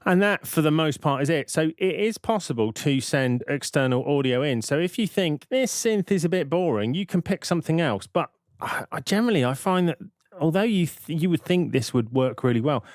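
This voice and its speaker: A man narrating a software tutorial in an informal, explanatory way, thinking aloud as he goes. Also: on the high side, expressive, moderate pace, no echo, studio quality. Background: synth and vocoder demo sounds.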